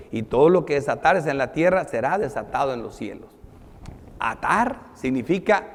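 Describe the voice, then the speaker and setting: A male pastor with a high voice delivers a sermon, moving between scripture and explanation. He is emphatic, declaiming some phrases and dropping softer on others. Long reverb of a large hall surrounds his words. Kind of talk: sermon, reading and explaining Bible verses.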